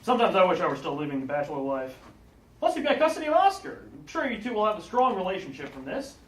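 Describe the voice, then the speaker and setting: A man's voice: high-pitched, very animated, fast talker, distant mic, slight background noise. A man acting as an easygoing old school friend who teases the others. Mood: chatty. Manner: easygoing, teasing. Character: laid-back teasing old school buddy. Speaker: friend in a store line. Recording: echoey room, off-mic, faint ambience.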